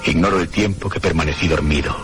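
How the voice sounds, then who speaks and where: Frightened male narrator, deep, very expressive intonation, suspenseful horror radio play, noisy recording. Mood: tense, hushed suspense. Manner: suspenseful, theatrical, with deliberate pauses. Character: frightened man recounting a night of eerie terror. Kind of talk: dramatic first-person narration in radio horror drama.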